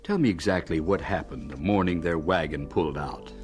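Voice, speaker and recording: A man playing an attentive, sympathetic interviewer in a scripted radio drama, with a husky voice. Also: deep voice, a little fast, music in the background, close to an everyday voice. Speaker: interviewer narrator.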